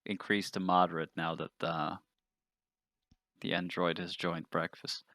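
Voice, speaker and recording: Sour, sarcastic starship scientist played by a man, low-voiced and dry, grumbling tabletop roleplay. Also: little pitch movement, unhurried, bright, forceful tone, dry recording, heavily acted. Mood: grumpy, sarcastic. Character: grumpy, foul-mouthed male ship's scientist who hates androids.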